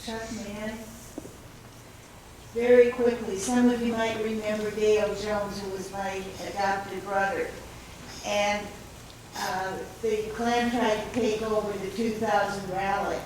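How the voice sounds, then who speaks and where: A woman's voice: mid-range voice, flat delivery, slow pace, a little mellow, far from the mic, a little hiss or hum. Earnest woman speaking up in an echoey room. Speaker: audience member adding remarks. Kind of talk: short personal remarks to an audience. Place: meeting room with audience.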